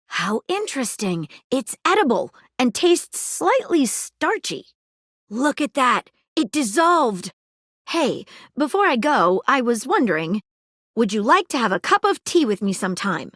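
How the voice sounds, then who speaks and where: A woman voicing an eager, polite female magnifying-glass detective in a video game, crisp and precise, often apologetic. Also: on the high side, no echo, studio quality. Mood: curious. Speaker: detective character. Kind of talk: video game character dialogue.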